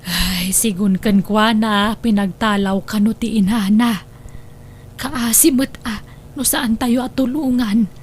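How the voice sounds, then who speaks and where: A woman's voice: medium pitch, slow, slight background noise, close to an everyday voice. A woman playing a practical, accepting mother in an audio drama, with an Ilocano accent and a motherly, concerned manner.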